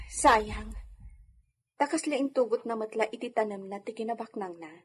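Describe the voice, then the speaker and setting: A woman's voice: fairly high pitch, breathy edge. A woman playing a kind, humble young wealthy lady, speaking graciously in an Ilocano radio drama.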